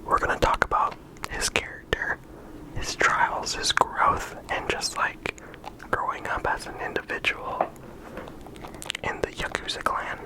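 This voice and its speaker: Soft unvoiced ASMR whisper. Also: poor audio. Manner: soft, unhurried, stops and restarts often. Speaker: ASMR video creator. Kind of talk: ASMR whispered character discussion.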